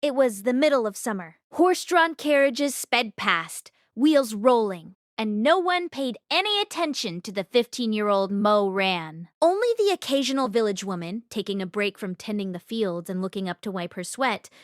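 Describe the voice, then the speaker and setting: A woman reading a novel aloud as an audiobook narrator, in an expressive storytelling style. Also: mid-range voice, moderate pace, hardly any pauses, dry recording, studio quality. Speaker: audiobook reader.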